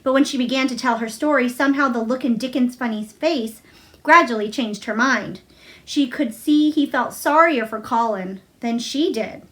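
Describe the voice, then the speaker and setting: Woman, high-pitched voice, read-aloud story narration, children's book. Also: normal speed, fairly bright tone. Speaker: storybook reader.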